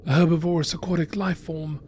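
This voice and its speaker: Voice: medium pitch, little pitch movement, brisk, a little mellow, slight background noise. A narrator reading scripted descriptions in the style of a nature documentary. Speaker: narrator reading game lore texts.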